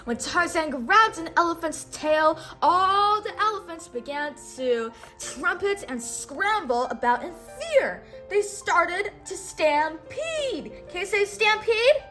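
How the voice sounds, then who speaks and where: A woman reading a storybook aloud to a child in an expressive, instructional way, pausing on words to be repeated. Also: extremely high pitch, faint music behind. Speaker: storybook read-aloud host. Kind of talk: children's read-aloud narration with call-and-response prompts.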